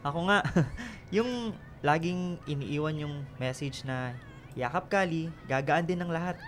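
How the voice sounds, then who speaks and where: Man acting devoted high-voiced young sweetheart Jade. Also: unhurried, slight background noise, lightly acted. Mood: affectionate. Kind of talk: radio drama acting.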